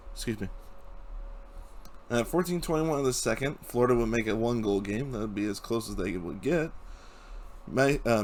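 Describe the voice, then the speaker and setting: A young man who hosts a hockey channel, casually recapping playoff games and reading out stats, his voice hoarse from a cold. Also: mid-range voice, a little hiss or hum. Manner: casual, reads stats, chuckles at times.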